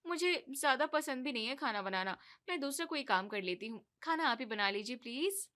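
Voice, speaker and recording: A cheeky young daughter-in-law from a cartoon tale, breezy and a bit high, talking back with easy confidence, as a woman plays her. Her voice is bright and crisp, with English words dropped into casual talk. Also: animated, fairly quick.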